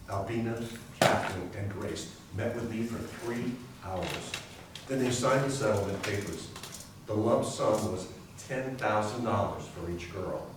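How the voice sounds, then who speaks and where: Man playing a deep-voiced lawyer in an echoing hall. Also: close to an everyday voice. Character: male lawyer recounting a hard-fought court case. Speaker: community theatre performer. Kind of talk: readers theater dramatic narration. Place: library readers theater performance, indoors. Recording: very echoey hall, distant, faint hiss.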